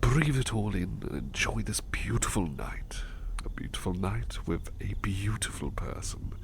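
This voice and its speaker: A man playing a gentle, devoted butler in an intimate ASMR roleplay, speaking politely and tenderly with a hesitant stammer. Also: lowish voice, big pitch swings, measured pace, gravelly, heavily acted. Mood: tender, whispery. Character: gentle, devoted, flustered male butler, tired and affectionate.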